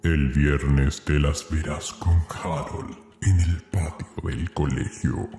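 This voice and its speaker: An actor voicing a dark, menacing figure in a horror audio drama, reciting ominous words. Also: male voice, rumbling low, steady tone, exaggerated voice. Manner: slow, ominous. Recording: echoing voice over faint music.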